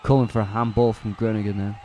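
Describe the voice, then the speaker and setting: Relaxed man giving casual match commentary. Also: on the low side, fast, slight background noise. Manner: relaxed, chatty, corrects himself and answers live chat. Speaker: match commentator on a fan watch-along stream. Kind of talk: casual live play-by-play commentary.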